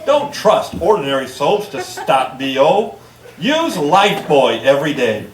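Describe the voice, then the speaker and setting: An actor playing an eager soap pitchman in an old-time radio commercial. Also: very animated, measured pace, crowd noise. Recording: echoey, distant stage with audience noise.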